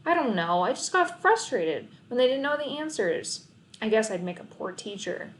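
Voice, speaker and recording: A woman's voice: on the high side, big pitch swings, normal speed, slight background noise. A woman voicing a stressed, bossy, studious schoolgirl in an emphatic, exasperated manner for a storybook. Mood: frustrated.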